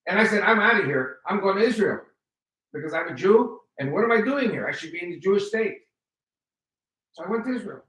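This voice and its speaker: An older man in his seventies gives an animated personal testimony, his voice bright and forceful. He acts out quoted exchanges as he tells his story. He is heard from a distance in a roomy, echoing hall. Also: high-pitched, brisk. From United States. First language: Spanish. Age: 70s. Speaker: guest speaker giving testimony. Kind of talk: personal testimony to a live audience. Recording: roomy hall, voice picked up from afar.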